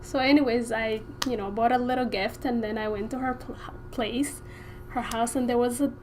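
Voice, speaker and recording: A woman's voice: on the high side, steady tone, moderate pace, a little hiss or hum. A woman from Somalia, a nurse, telling a shared story to camera in a chatty way and teasing her co-host.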